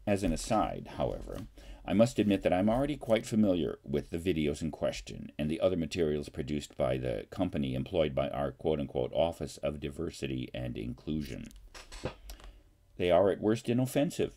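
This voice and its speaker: An older man in his sixties, a professor, reading a formal letter aloud with emphatic, deliberate phrasing into a computer mic in a home study. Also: rumbling low, very animated, gravelly, dark tone. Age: older, 60s. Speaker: college political science professor. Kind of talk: reading an open letter aloud with brief asides. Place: small home study lined with books. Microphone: webcam or computer mic at desk distance.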